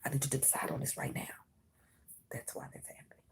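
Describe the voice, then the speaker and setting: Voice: quick pace, a bit bright. A tarot reader giving an intimate, whispered reading to the viewer, emphatic and reassuring, calling the listener honey and mama. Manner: emphatic, reassuring, repeats phrases.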